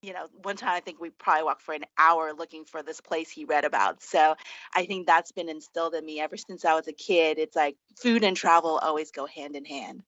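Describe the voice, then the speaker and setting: A woman's voice: low voice, brisk, fairly bright tone, no echo. A woman from Singapore speaking casually as an interview guest, looking back on her experiences, on slightly lo-fi audio. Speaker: interview guest, food and travel journalist. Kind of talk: casual reminiscing interview chat.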